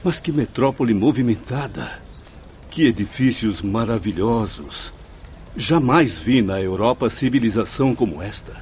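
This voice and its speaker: A man's voice: mid-range voice, lively intonation, unhurried, a little mellow, lightly acted. An actor playing an astonished, courteous male spirit newcomer in a radio drama, with formal, exclamatory delivery. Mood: awed. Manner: exclamatory, theatrical, formal wording. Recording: faint background noise.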